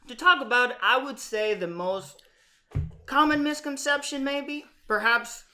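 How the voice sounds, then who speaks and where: A man from Puerto Rico co-hosting a podcast in casual conversation, with measured, deliberate phrasing. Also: very high voice, sharp tone. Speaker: podcast co-host. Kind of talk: casual scripted-topic chat.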